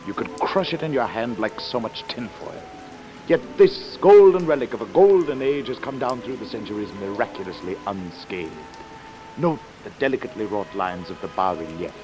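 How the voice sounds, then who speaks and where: An actor playing a pompous, long-winded importer in a florid, precise, emphatic 1940s radio detective style. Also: male voice, mid-range voice, natural voice, low-quality recording. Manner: florid, precise, emphatic, repeats a pet question. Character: pompous, long-winded male antiquities importer, droll and insistent. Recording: hissy vintage radio, rain noise.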